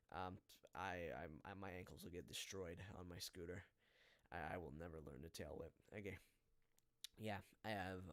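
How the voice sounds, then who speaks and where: A man's voice: fairly low pitch, clean tone, no echo. A man whose first language is Polish hosts a casual live podcast as a voice actor. He rambles and hesitates, with many fillers and restarts. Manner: apologetic, backpedaling.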